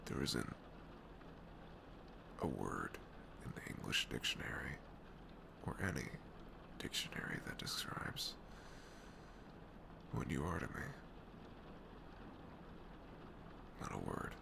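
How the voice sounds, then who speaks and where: A man playing a tender, nervous best friend in an intimate ASMR roleplay, speaking softly and hesitantly in a low, gravelly voice close to the mic. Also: full character voice. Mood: tender, hushed. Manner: hesitant, stammering, soft-spoken.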